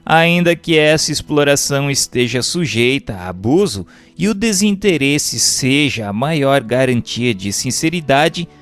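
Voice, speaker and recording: A man's voice: mid-range voice, expressive, measured pace, few pauses, a bit bright. Formal man narrating over music. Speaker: audiobook narrator. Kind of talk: scripted narration, reading a religious book aloud.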